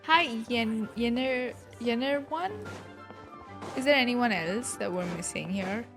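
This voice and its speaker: A woman's voice: fairly high pitch, a little slow. A playful, slangy woman giving casual reaction commentary as she streams a game, with music underneath.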